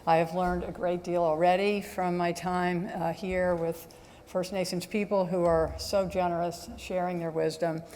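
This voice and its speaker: A woman delivers a formal address in a soft, measured manner, often pausing on a hesitant "um." Her voice sits slightly low for a woman. The sound carries a long reverb from a large event hall, picked up from a lectern microphone. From United States. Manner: soft-spoken, measured, with frequent hesitant ums. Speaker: ambassador giving welcome remarks. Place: large stadium event venue.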